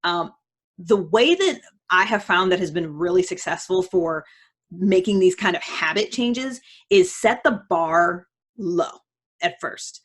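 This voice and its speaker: A woman's voice: lowish voice, animated, unhurried, slightly rough. A woman giving casual motivational advice as a host, conversational and emphatic, often voicing imagined self-talk.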